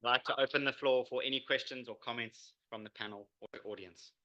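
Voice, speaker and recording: A man delivering a prepared pitch as a startup founder. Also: fairly even intonation, fairly quick, bright, forceful tone, lo-fi sound. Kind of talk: prepared business pitch presentation.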